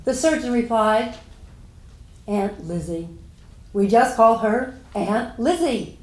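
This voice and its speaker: A woman's voice: a little high, animated, slow pace, a little mellow. A woman playing a kindly, humble Civil War volunteer nurse, telling her story plainly in a staged dramatic reading. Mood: kindly, modest. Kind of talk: first-person historical monologue, staged dramatic reading. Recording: echoey room.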